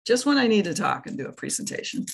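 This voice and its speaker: A hoarse woman, giving a conversational, explanatory presentation at an online meeting, with fillers and self-corrections. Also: mid-range voice, very animated, fast talker, a little hiss or hum. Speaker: tobacco prevention director presenting.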